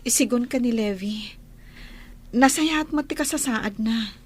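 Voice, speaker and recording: An actress playing a gentle, respectful young mother in an Ilocano audio drama. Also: a little high, measured pace, breathy edge. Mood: grateful. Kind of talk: Ilocano radio-style audio drama acting.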